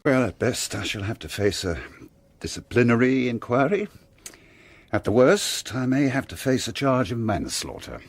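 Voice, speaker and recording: An actor playing a bitter, anguished doctor and father in a radio drama, speaking in measured, formal, emphatic phrases. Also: medium pitch, big pitch swings, no room sound. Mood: resolute.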